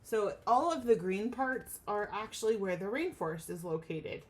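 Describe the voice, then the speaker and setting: A woman teacher reading a storybook aloud to children in an enthusiastic, expressive way, speaking directly to her young listeners with questions and explanations. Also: medium pitch, normal speed, slight background noise.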